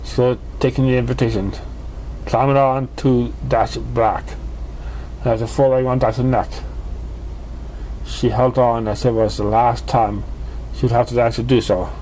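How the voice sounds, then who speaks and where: A male amateur reader narrates a story in a halting way, tripping over words as he goes. His pitch is average for a man. The recording has clear background noise. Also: little pitch movement, a little mellow, rough recording. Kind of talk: scripted fan fiction narration.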